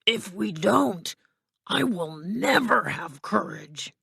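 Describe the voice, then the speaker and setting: A woman's voice: medium pitch, lively intonation, takes it slowly, gravelly, a bit bright, slightly rough, no echo, clean studio sound, voice pushed up, full character voice. A woman voicing a cowardly male lion in a storybook, fearful and plaintive as he longs for courage. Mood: anxious resolve.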